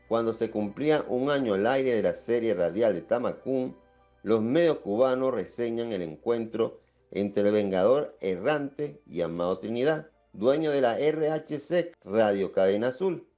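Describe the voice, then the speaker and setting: A man narrates a documentary in a steady, even tone, his voice mellow and dark. The recording sounds a little dull, as if the top end were cut off, and soft music runs underneath. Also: mid-range voice.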